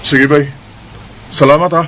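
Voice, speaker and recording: A man's voice: a little high, fairly even intonation, measured pace, noisy background, close to an everyday voice. A man voicing a resentful young man who defies his parents in a radio drama. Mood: weary quiet. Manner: bitter, sarcastic.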